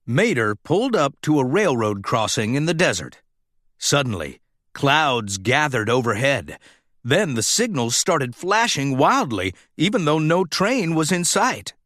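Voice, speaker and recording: A man's voice: mid-range voice, big pitch swings, measured pace, fairly bright tone, no room sound, studio quality. A man reading a children's storybook aloud as scripted narration. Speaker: storybook reader.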